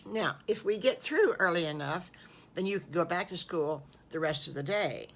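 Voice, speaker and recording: A woman's voice: fairly low pitch, lively intonation, normal speed, vocal fry. An older woman voicing a kindly, nervous farm wife in a storybook tale, gushing and fluttery.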